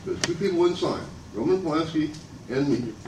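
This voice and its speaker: An older man speaking loudly and abrasively in a rambling public comment at a meeting. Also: animated, a little fast. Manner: loud and booming, abrasive, rambling and aggrieved. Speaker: member of the public giving comment. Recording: echoey room from far off, noisy.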